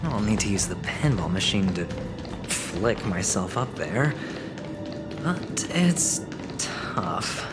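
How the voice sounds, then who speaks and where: A man's voice: on the low side, sing-song, slow. A man voicing a smug, playful young schemer in a video game cutscene, teasing and amused with himself. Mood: musing, playful. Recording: music behind the voice.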